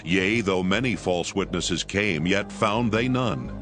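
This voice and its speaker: A man with a fairly low voice narrates a dramatized scripture. His delivery is solemn and scripted, with music playing clearly behind him. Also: slow pace. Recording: background music under the voice.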